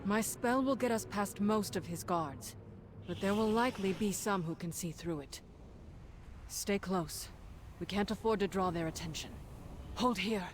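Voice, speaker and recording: A woman's voice: mid-range voice, unhurried, a little hiss or hum, lightly acted. A woman playing a resolute sorceress leading her allies in a fantasy game cutscene, earnest and authoritative. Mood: guarded, urgent.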